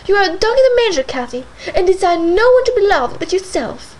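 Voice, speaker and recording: Brief: tearful, wailing young lady, voiced by a woman reader, high and pleading. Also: expressive, normal speed, a little hiss or hum, rough recording. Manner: wailing, plaintive, high and loud.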